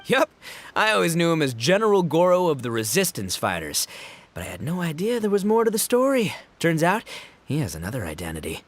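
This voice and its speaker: An actor playing a brash, jovial, slow-witted young gang boss who talks in breezy slang in a video game. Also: male voice, fairly high pitch, wildly swooping pitch, no room sound. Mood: breezy, boastful. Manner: boisterous, slangy, dramatic. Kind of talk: video game cutscene acting.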